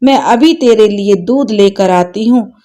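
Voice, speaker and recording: An elderly grandmother, as a woman narrator plays her, speaks tenderly and sorrowfully. The voice is dark and mellow, and it moves slowly, as in a spoken storybook. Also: medium pitch, natural voice. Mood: grieving. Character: old grandmother, grieving and tender.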